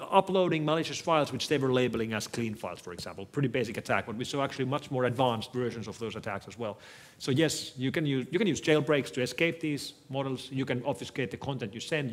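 A middle-aged man whose native language is Finnish, giving a measured, thoughtful keynote talk on stage in a lecture hall, speaking into a headset mic. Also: mid-range voice. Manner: measured, thoughtful, frequent restarts. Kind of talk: conference keynote with audience Q&A.

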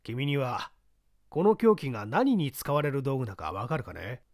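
A man reading a composed, lecturing older gentleman. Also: medium pitch, very animated, dry recording, studio quality. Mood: probing. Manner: measured, lecturing, poses pointed questions. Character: composed middle-aged man testing a young applicant. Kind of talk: audiobook reading of a detective story.

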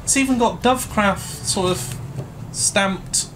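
A man's voice: high-pitched, takes it slowly, background noise. A man in his thirties co-presenting craft products with playful jokes, filmed in a small stockroom by a camera on the table. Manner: joking, playful asides. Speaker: shop presenter. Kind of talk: casual product showcase chat.

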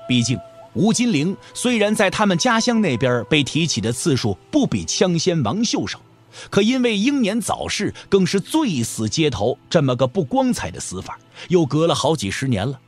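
A man narrating an audio drama as an expressive, colloquial storyteller. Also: on the high side, moderate pace, slightly rough, faint music behind.

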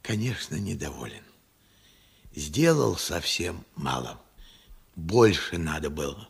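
Husky, weary old working man. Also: rumbling low, very animated, heavily acted. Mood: wistful, regretful. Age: elderly. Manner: slow, halting, reflective. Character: weary, regretful old working man, plain-spoken and stubborn. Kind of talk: Soviet radio play acting.

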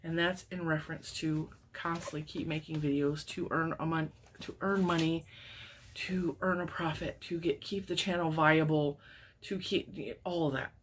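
Woman, 40s, lowish voice, wheezy, congested, chatty crochet host, laughs a lot. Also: moderate pace, slight background noise. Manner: chatty, laughs often, animated hand gestures. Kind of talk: casual chat to camera. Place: home craft room lined with yarn shelves.

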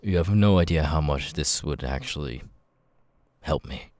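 A man playing a nervous, grateful young outcast with vocal tics in an ASMR roleplay, speaking haltingly and repeating words. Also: darkish tone, dry recording. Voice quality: very deep voice with stuttering, sudden high-pitched tic outbursts.

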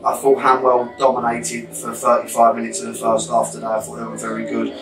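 A football manager in his thirties with a London accent, talking through a defeat in a measured, reflective way with many fillers and restarts. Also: medium pitch, completely flat delivery, gravelly, music in the background. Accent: London English. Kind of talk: post-match interview. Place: small changing room. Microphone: camera mic at a few metres. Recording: echoey small room, distant mic.

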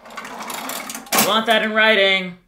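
A man's voice: sits high, level tone, takes it slowly, noisy background. An actor as an exasperated, sarcastic young man. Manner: sardonic, scolding. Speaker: voice actor in an audio drama. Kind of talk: naturalistic audio fiction podcast acting.

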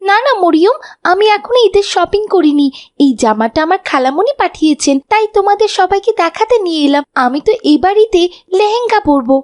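A spirited little schoolgirl from a children's cartoon. The voice sits extremely high. She is chatty, expressive and quick to get excited or cross. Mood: excited, cheerful. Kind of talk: animated children's cartoon dialogue.

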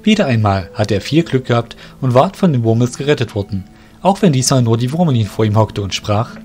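A man's voice: mid-range voice, big pitch swings, brisk, darkish tone. A man narrating a scripted fantasy audio drama as its storyteller. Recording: music behind the voice.

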